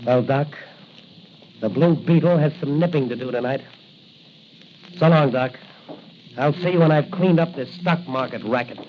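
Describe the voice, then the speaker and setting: A man's voice: medium pitch, normal speed, pauses often, close to an everyday voice. An actor playing a bold, determined masked crime-fighting patrolman, thinking aloud in clipped remarks in 1940s radio style. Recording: noisy, muffled 1940 radio recording.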